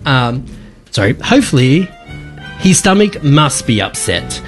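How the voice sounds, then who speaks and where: A man's voice: mid-range voice, big pitch swings, unhurried, soft background music. A man reading as a fussy storybook mother. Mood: reassuring. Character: fussy, worried mother of two kids.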